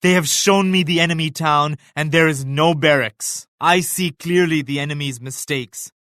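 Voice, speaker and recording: Casting: male actor as a devout Mughal emperor for a strategy game, fairly high male voice, grave formal declamation, dry studio sound. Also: normal speed, fairly bright tone, no echo, natural voice. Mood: confident, pious. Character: devout, solemn, regal Mughal emperor, grown man. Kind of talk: scripted game character lines.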